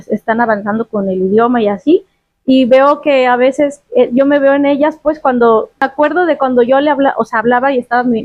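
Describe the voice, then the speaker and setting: High-voiced Mexican woman on a tinny line. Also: dry recording. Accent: Mexican Spanish. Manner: lively, chatty, laughs often. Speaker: guest. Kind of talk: casual interview chat.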